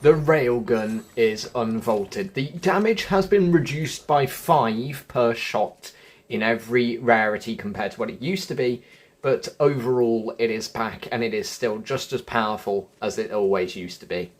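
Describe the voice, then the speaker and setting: A man's voice: mid-range voice, unhurried. A man giving casual gameplay commentary as a video host, informal and a little stumbling in how he talks.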